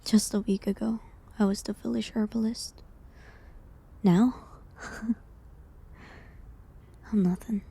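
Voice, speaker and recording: A woman playing a gentle, sorrowful village herbalist condemned as a witch, speaking in a hushed, halting way in a dramatic audio roleplay. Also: medium pitch, fairly even intonation, heavily acted. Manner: hushed, breathy, halting delivery.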